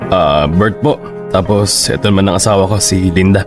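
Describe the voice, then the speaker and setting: A Filipino father acted by a man keeps the peace in a radio drama. His voice is low and measured, apologetic in manner, with music clearly playing behind. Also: close to an everyday voice. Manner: conciliatory, measured.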